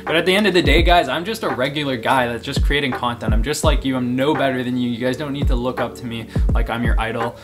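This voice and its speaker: A man's voice: mid-range voice, fast, hardly any pauses. A man of twenty-one chatting casually in a Q&A vlog, upbeat and chummy, laughing often and calling his viewers guys. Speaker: men's fashion and fitness YouTuber. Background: music.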